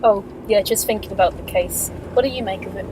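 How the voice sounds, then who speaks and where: A woman's voice: medium pitch, quick pace, close to an everyday voice. Junior detective, female actor, inquisitive and informal, radio drama, mid-range voice, faint noise and clicks. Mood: questioning. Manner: questioning, informal. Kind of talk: amateur radio drama acting.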